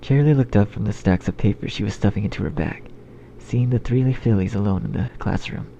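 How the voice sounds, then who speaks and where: A man's voice: lowish voice, brisk, vocal fry, mellow, slight background noise. A man reading scripted story narration as the narrator. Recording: dull, muffled sound under music. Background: music.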